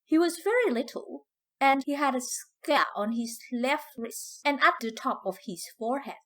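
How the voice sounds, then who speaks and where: A woman's voice: sits high, expressive, moderate pace, fairly bright tone. A woman playing an older former slave from the American South, proud, fiery and grieving, telling her story in folksy dialect. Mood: reminiscing. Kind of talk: dramatic first-person storytelling in dialect.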